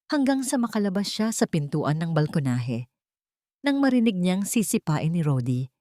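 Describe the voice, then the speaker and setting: A woman's voice: low-pitched, a little mellow, no room sound, studio quality. A woman reading scripted, dramatic story narration as the narrator.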